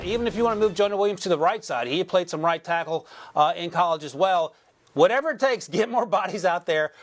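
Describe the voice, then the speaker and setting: A man breaking down draft picks in a studio sports show, energetic with wry asides. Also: high voice. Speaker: draft analyst.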